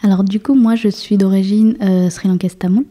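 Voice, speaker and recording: Dark-voiced young woman, hesitant and flat. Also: medium pitch, a little fast. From France. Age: 20s. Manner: hesitant, many fillers and restarts. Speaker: guest, municipal councillor. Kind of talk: casual interview chat.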